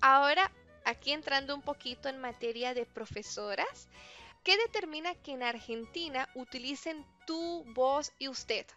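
A woman's voice: sits high, expressive, normal speed, bright, forceful tone, faint music behind. A woman interviewer asking questions and back-channeling often with "ujú". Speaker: interviewer, language student. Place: lives in Brazil. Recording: slightly dull lo-fi sound.

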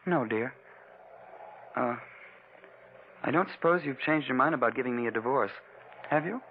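An actor playing an unhinged, coldly polite murderous husband and obsessive suitor in an old-time radio thriller, halting and full of menace. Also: male voice, medium pitch, close to an everyday voice. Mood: coldly menacing. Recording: tinny, noisy vintage radio recording.

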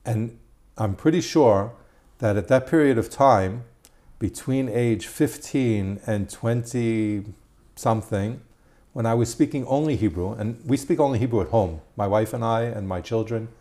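A middle-aged man with an Israeli accent, a guest and tour guide, talking casually in a measured, slightly halting way. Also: medium pitch, mellow. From United States. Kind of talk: casual interview conversation.

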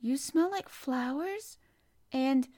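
A woman's voice: mid-range voice, moderate pace, gravelly, no echo, studio quality, lightly acted. A woman voicing a frail young boy full of eager wonder. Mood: delighted.

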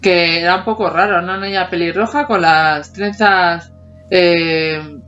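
A woman's voice: lowish voice, fairly even intonation, measured pace, a bit bright. Chatty woman in her forties, laughing. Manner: laughs often, hums snippets of tunes. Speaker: podcast co-host. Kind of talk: casual chat about childhood TV songs. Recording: dull lo-fi sound with faint music behind.

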